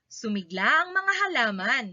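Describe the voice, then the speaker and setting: A Filipino woman reads a story aloud for children. Her voice is high and very bright, delivering short, emphatic sentences. The recording sounds somewhat dull and lo-fi. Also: big pitch swings, unhurried.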